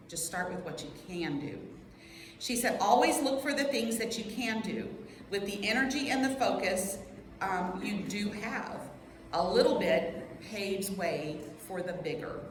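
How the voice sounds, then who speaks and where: A woman with a mid-pitched voice presents to a group in a large, reverberant hall. She speaks in an earnest, conversational way, pausing between short phrases. Clear background noise sits behind her voice. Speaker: workshop presenter at a church women's meeting. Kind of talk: informal prepared talk to a group. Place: large echoey indoor room.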